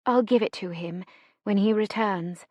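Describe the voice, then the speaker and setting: A woman voicing a supportive, practical young wartime sister in steady, reassuring audiobook dialogue. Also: medium pitch, measured pace, no echo, highs cut off, natural voice.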